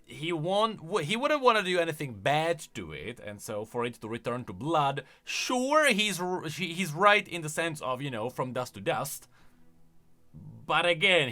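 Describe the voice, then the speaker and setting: A man with a fairly high, bright voice talks casually like a gaming YouTuber. His delivery swings up and down in lively fashion as he explains and gives his opinions. Faint music plays underneath. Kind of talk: casual commentary on game voice lines.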